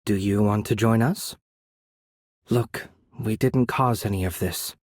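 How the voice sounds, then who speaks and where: A man playing a courteous, composed young noble mage in a video game, soft-spoken and slightly breathy, with polite, measured, formal phrasing. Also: lowish voice, no echo, clean studio sound. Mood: gentle, subdued. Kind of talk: video game character voice acting.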